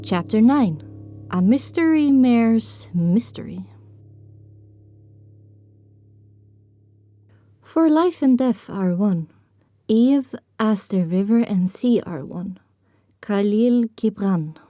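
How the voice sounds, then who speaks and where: A woman's voice: medium pitch, lively intonation, slow pace, warm tone, dry recording, soft background music. A woman narrating an audio drama in the first person as a sensitive young pony filly, in a scripted storytelling style. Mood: solemn.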